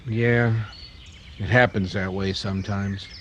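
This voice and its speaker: A man playing a gentle, troubled townsman in a radio western, speaking thoughtfully and hesitantly. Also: on the low side, fairly even intonation, slow, muffled recording, a little hiss or hum. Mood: troubled, pensive. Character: troubled, gentle, conscientious townsman riding with posse. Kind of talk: old-time radio western acting.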